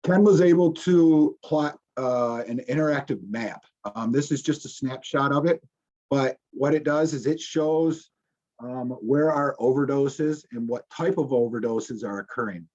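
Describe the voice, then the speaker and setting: A man's voice: mid-range voice, a little slow, a little mellow. A man, a police officer presenting slides to a community audience, speaking informally with many ums and restarts. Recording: dull, muffled recording.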